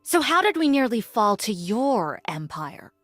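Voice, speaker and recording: A woman's voice: a little high, sing-song, measured pace, fairly bright tone, no room sound, clean studio sound, full character voice. An actress playing a proud, solemn female warrior in a fantasy game, speaking formally. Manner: formal, declarative. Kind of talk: scripted fantasy game voice lines. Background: music in the background.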